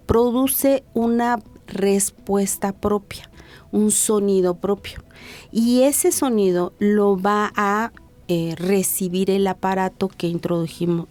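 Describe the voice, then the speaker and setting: Mellow-voiced Mexican woman doctor explaining. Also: medium pitch. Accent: Mexican Spanish. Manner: measured, didactic, slows down to stress points. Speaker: physician, hearing and speech specialist. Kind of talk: interview answers, explanatory.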